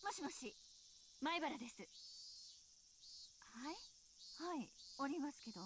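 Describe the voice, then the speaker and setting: A woman playing a busy middle-aged mother in a Japanese visual novel, brisk, firm and matter-of-fact. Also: sits high, animated, background noise.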